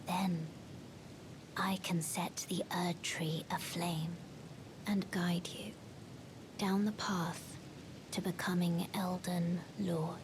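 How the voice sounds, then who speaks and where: Over a loud rumbling background, a young maiden guide speaks in a soft, breathy, lowish voice. She is solemn, mysterious and quietly resolute, pacing her formal fantasy-game lines very slowly. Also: flat delivery, noisy background. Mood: solemn, persuasive. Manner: measured, solemn, restrained.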